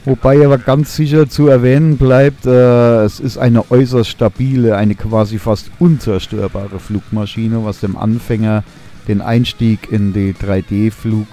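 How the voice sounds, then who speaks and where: A young man talks through a drone review in a mellow, warm voice. He sounds keen but easygoing, stopping often on little hesitation sounds. Soft guitar music plays quietly underneath. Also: medium pitch, unhurried. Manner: relaxed, enthusiastic, many fillers. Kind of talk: voice-over product review.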